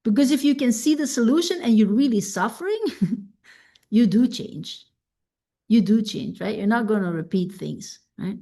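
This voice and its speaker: A woman coach whose first language is Dutch, teaching a self-help masterclass in an unhurried, reflective way and often checking in with "right?". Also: a little high, lively intonation, dark tone.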